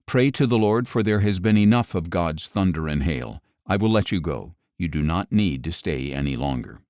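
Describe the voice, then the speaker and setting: A man reading scripture as an audiobook narrator in a measured, solemn manner. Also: sits low, a little mellow, no room sound, studio quality.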